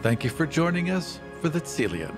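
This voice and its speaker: A man's voice: a little high, sing-song, moderate pace. A man hosting a podcast, reading scripted announcements and promos. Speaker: podcast host and producer. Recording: music under the voice.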